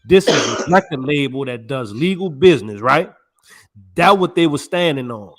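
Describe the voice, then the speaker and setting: A man's voice: a little high, lively intonation, moderate pace, a bit bright. A man co-hosting a podcast, chatting casually in a laid-back, slangy way. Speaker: podcast co-host. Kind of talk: casual chat.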